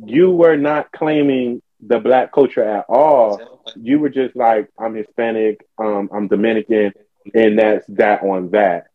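A man's voice: lowish voice, a little slow. A young man in casual podcast chat, pressing with probing questions. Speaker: podcast co-host. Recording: tinny phone-like podcast audio, dry.

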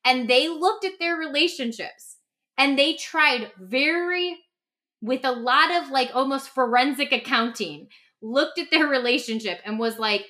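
A woman with a fairly high, very bright voice talks as a podcast host and coach. Her delivery is conversational and emphatic, with loose, informal phrasing and an animated way of making her points. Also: a little slow. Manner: animated, emphatic, acts out imagined quotes; informal, peppered with "like". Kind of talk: casual conversational podcast talk.

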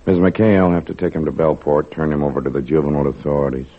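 A stern lawman from a 1940s radio play. The voice is extremely deep and husky, with an official, methodical delivery. It comes through a narrow, muffled old broadcast recording. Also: flat delivery, fast talker. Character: grave, methodical sheriff's officer questioning a boy.

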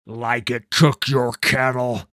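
A man voicing a stern, bitter mage hunter from a fantasy story, rough-voiced, sneering and accusatory. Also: lowish voice, expressive, slow pace, dry recording, studio quality, exaggerated voice. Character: stern, bitter male mage hunter, grieving and menacing interrogator.

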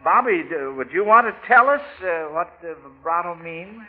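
A man's voice: sits high, animated, normal speed. An American man hosting an old-time radio children's quiz in a folksy, hesitant, chuckling way, often repeating himself. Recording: narrow-band old radio broadcast.

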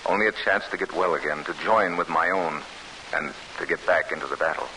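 Deep-voiced, defiant wartime refugee. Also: male voice, steady tone, brisk, bright, forceful tone, slight background noise, poor audio. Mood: weary, urgent. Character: weary, defiant young Polish-American refugee man, earnest and determined. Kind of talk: 1940s American radio drama acting. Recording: old radio recording, faint music.

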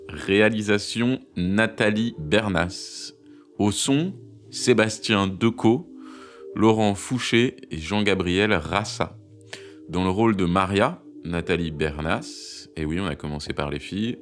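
Male credits reader for a podcast: informal, slightly low, halting, with music behind. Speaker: credits announcer.

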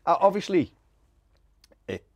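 A woman hosting a podcast interview, encouraging her guest with quick reactions and laughter. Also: a crowd in the background. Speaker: podcast host. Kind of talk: casual interview chat.

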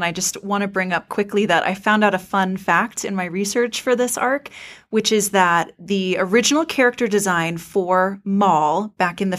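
A woman co-hosting a podcast in casual fan chat, playful and articulate. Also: medium pitch. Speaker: podcast co-host.

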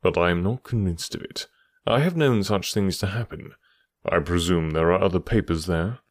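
An unflappable detective, as a male reader voices him, answers in a low, dry, unhurried tone. His voice sits low and plain. The recording is close with no room sound. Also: dry recording. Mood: cool. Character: calm, cool male detective.

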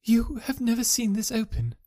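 A man voicing a Victorian wife in a high, falsetto-like voice, imploring and firm. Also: very animated, normal speed, warm tone, heavily acted. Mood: proud. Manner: imploring, then proud and firm. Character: proud, pleading Victorian wife, woman.

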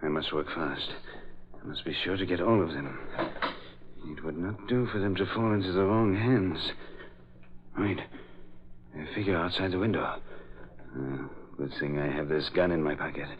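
A man's voice: low voice, normal speed, pauses often, natural voice. An actor playing a sly, menacing French houseman with a French accent in a 1940s radio drama. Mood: furtive, urgent. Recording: dull, noisy vintage radio recording.

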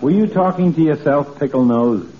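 A man's voice: on the high side, normal speed, crowd noise, close to an everyday voice. A man acting a wry, needling town doctor in 1940s radio comedy, with dry, teasing delivery. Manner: dry, teasing, incredulous questioning. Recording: tinny vintage 1940s radio recording, faint audience.

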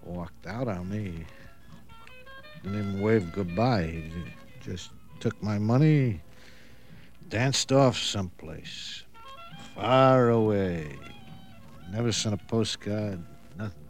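An actor playing a broken, pleading down-and-out drunk in a radio drama, halting and rambling, repeating himself. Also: male voice, lowish voice, faint music behind. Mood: wistful, rambling. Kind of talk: old-time radio drama acting.

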